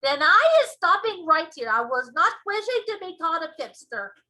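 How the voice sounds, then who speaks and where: A woman's voice: very high voice, big pitch swings, moderate pace, pushed and bright, voice pushed up, full character voice. A woman voicing a big, proud, earnest male giant from a storybook, speaking emphatically in folksy muddled grammar with whimsical invented words. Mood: offended.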